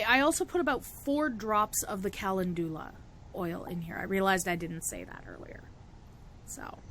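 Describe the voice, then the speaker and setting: Raspy young woman, chatty tutorial. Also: medium pitch, fairly bright tone, a little hiss or hum. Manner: chatty, laughs often, self-interrupting. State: raspy from a cold. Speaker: witchcraft vlogger. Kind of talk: casual tutorial chat.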